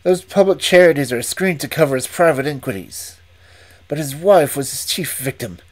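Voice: medium pitch, a little fast, darkish tone, close to an everyday voice. A reader voicing a nervous, breathless male estate manager who talks in agitated, emphatic bursts. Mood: bitter. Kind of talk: audiobook reading.

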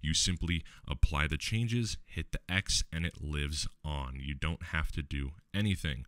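A man with a deep, low voice walking viewers through a software tutorial step by step, relaxed, with the odd filler. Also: dry recording, clean studio sound. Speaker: tech tutorial host. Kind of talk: screen-recorded software tutorial.

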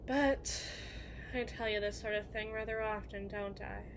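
A woman's voice: mid-range voice, steady tone, measured pace, background noise, lightly acted. A woman playing a melancholy, guilt-ridden evil queen in an ASMR roleplay monologue, speaking in formal, introspective phrases to someone she loves. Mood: bitter, self-loathing.

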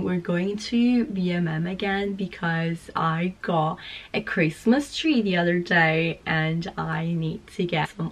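A young woman chatting casually to camera in her flat while recovering from a cold, hoarse and gushing. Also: on the low side. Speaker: vlogger. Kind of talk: casual vlog chat. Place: small flat, indoors.

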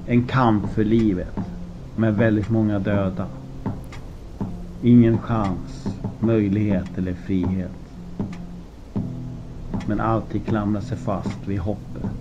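A man narrating an audiobook from a script, in deliberate phrases with dramatic pauses. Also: fairly low pitch, steady tone, over music. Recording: muffled lo-fi with clear background noise.

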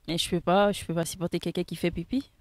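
A woman's voice: fairly low pitch, flat delivery, quick pace, very noisy. A young woman from the Central African Republic answering street interview questions shyly and hesitantly, on a handheld mic in an outdoor square. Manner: shy, hesitant, giggly. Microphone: handheld mic held by interviewer.

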